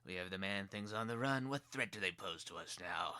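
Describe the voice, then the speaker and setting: A man's voice: sits low, a little fast, bright, forceful tone, smooth. A man playing a grizzled rat general with a boastful, dismissive manner in a fantasy dramatic reading. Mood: scornful, boastful.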